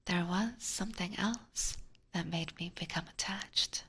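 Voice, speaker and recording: A woman's voice: lowish voice, a bit bright, heavily acted. Whispering, obsessive vampire catgirl played by a woman. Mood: infatuated, hungry. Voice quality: breathy. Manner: slow, intimate, teasing whisper. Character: obsessive, seductive female vampire catgirl, sweetly menacing. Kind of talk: whispered ASMR roleplay. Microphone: close binaural microphone.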